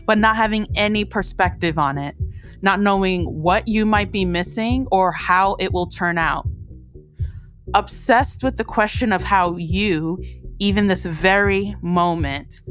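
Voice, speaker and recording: A woman host reading scripted narration in a measured, deliberate way. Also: mid-range voice. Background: music bed.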